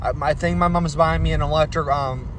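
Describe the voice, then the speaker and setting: A man's voice: on the high side, fairly even intonation, fairly quick. Young dude rambling in his car, loops back and restarts a lot, road noise behind him. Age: 20s. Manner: rambling, repeats himself and restarts sentences. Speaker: vlogger doing car maintenance videos. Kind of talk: casual vlog chat while driving. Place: inside a moving car.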